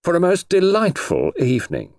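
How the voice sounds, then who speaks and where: A man voicing an earnest young man who plays the gallant gentleman rogue in an audiobook, with a mannered delivery. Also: high voice, huge pitch swings, normal speed, no room sound, clean studio sound, voice pushed up, heavily acted. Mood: ceremonious, gallant. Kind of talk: audiobook character voice.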